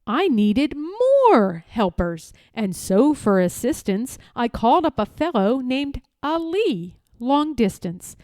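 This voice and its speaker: Slow, lively high-voiced woman reading a storybook. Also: no echo, studio quality. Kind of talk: expressive read-aloud of a rhyming children's storybook.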